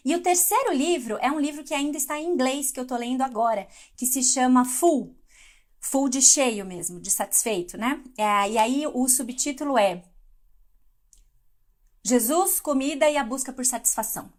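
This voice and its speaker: A middle-aged woman from Brazil leads a live devotional in a chatty, conversational way, pausing to answer viewers. Her voice sits a little high for a woman. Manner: conversational, reads live comments aloud. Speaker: devotional speaker.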